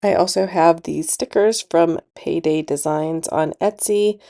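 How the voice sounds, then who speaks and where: Congested, creaky low-voiced woman vlogger. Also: unhurried, warm tone. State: congested, losing voice. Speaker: planner channel host. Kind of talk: casual plan-with-me voice-over.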